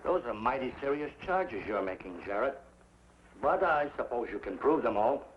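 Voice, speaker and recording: An actor playing a smooth, duplicitous town official who speaks in a formal, measured way in a 1950s TV Western. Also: male voice, medium pitch, animated, lightly acted. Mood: formal, evasive. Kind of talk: 1950s television Western acting. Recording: dull, noisy 1950s TV soundtrack.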